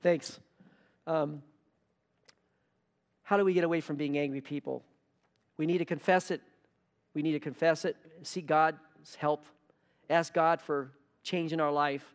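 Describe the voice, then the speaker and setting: An earnest male pastor preaches, leaning on key words and repeating them for emphasis. His voice sits a little high and trails a long reverb through a church hall. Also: fairly even intonation, unhurried, pauses often. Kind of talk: sermon.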